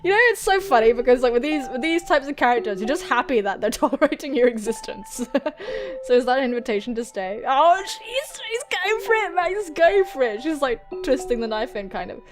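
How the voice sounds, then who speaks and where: An excitable, giggly young woman reading a visual novel aloud and adding dramatic, sweary commentary as a gaming host. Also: high voice, a little fast. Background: music.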